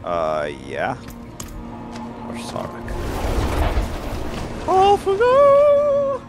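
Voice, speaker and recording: Male co-op gamer, jokey casual chat, highly animated intonation, slow pace, audible music. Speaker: gamer playing co-op.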